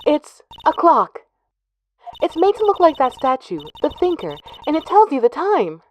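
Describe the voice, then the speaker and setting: A woman voicing a calm young female lawyer, heard as a replayed phone recording, explaining plainly. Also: high voice, normal speed, a little hiss or hum. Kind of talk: fan dub of game dialogue.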